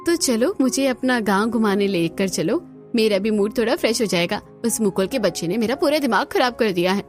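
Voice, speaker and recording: A kind, earnest young rich woman speaks gently, voiced by a woman. Her voice sits a little high. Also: animated, brisk, faint music behind, close to an everyday voice.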